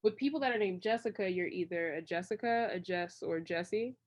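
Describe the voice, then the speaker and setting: A woman's voice: lowish voice, brisk. A woman from Washington, D.C., giving casual, long-winded answers as a guest in an interview. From Washington, D.C., United States. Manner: long-winded, rambling storytelling. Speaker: interview guest, creative director.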